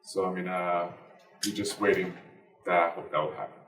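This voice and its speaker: Turkish-accented man, deep and distant. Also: flat delivery, a bit bright. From Turkey. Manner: earnest, halting, many fillers and broken grammar. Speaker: guest, basketball player and activist. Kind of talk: interview answers. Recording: roomy, distant from the mic.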